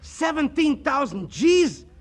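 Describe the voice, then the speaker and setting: An actor playing a brash, slangy wisecracking city sidekick in 1940s radio comedy, sounding incredulous. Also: male voice, very high voice, big pitch swings, slow pace, heavily acted. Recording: noisy old radio recording.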